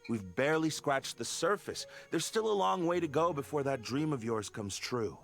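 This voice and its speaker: A man voicing a gruff, pessimistic, dutiful guard captain in a video game, terse and sober. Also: medium pitch, lively intonation, moderate pace, fairly bright tone, soft background music. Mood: curt, sceptical.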